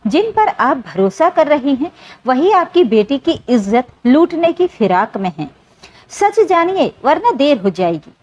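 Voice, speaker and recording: A woman's voice: high voice, very animated, a little slow, a little mellow. A woman narrator reading as an anonymous letter writer in a story, grave and urgent. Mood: urgent warning. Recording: dull, lo-fi sound.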